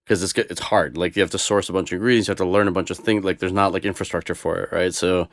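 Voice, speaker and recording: A man's voice: low voice, fairly even intonation, very fast, a bit bright, no room sound, studio quality. A young man whose first language is Russian, chatting casually as a podcast guest, rambling with lots of fillers and restarts. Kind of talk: casual conversation.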